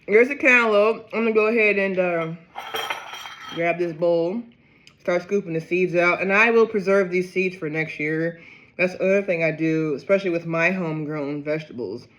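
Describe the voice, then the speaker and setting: Man, gardening vlogger, high-pitched, chatty and rambling, tired. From Ohio, USA. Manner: chatty and rambling, often breaks into excited exclamations. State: low on energy, tired. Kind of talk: casual chat to camera.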